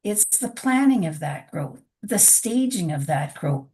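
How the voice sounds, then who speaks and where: A woman resident addressing a town council, her voice hoarse from being unwell, speaking earnestly with frequent restarts and halting pauses. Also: fairly low pitch, expressive, mellow. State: hoarse from illness. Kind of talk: public comment at a council meeting. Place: council meeting chamber.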